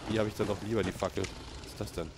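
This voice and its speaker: Low-voiced man with a slight cold, gaming commentary. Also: fairly even intonation, fast, very noisy. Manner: chatty, talks to viewers, reacts aloud. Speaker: let's play gamer. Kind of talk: casual gameplay commentary.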